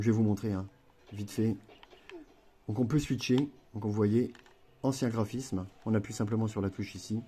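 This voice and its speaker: A man's voice: lowish voice, warm tone. A man chatting casually through a gameplay tutorial, rambling with frequent fillers and self-corrections. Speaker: gaming video creator.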